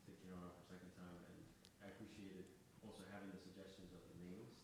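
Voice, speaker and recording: A man giving casual, halting, appreciative feedback in a group discussion. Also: sits low, level tone. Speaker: audience member giving feedback. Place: room in a library. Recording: echoey, distant, noisy room recording.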